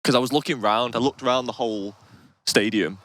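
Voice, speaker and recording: A man's voice: mid-range voice, fairly quick, fairly bright tone, slight background noise. A young man co-hosting a podcast in casual chat, rambling, laughing often and swearing freely. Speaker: podcast co-host.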